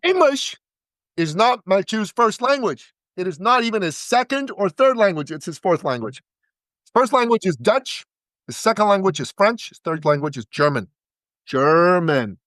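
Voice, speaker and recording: High-voiced emphatic man pitching. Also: very animated, normal speed, fairly bright tone, no echo. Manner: emphatic, repeats words for stress. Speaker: podcast guest, business coach. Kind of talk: energetic sales pitch.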